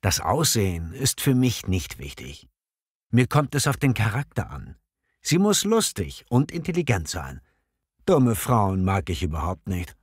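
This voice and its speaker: An actor plays a hesitant, choosy bachelor in a language-lesson dialogue. He talks reflectively, with clear articulation and a widely moving pitch. The recording is dry. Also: huge pitch swings, a little slow, no room sound, exaggerated voice. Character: hesitant, choosy single man who loves art. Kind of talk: scripted language-learning dialogue, clearly enunciated.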